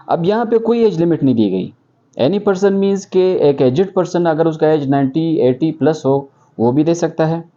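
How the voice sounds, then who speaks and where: A man's voice: a little high, warm tone. A man from Pakistan with a Pakistani accent giving an explanatory legal lecture, repeating and rephrasing points and mixing in English legal terms. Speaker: law lecturer. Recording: dull, muffled lo-fi audio.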